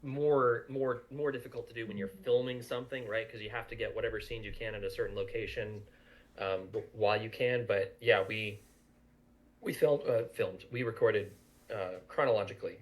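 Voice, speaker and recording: A man's voice: on the low side, fairly even intonation, moderate pace. A man from an audio drama crew, giving casual production commentary in conversation.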